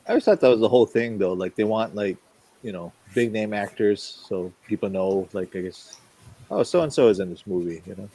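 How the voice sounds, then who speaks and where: A man's voice: lowish voice, a little fast, warm tone, slightly rough, poor audio. A man chatting casually as a regular on a drawing show. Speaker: drink-and-draw show regular. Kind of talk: casual chat.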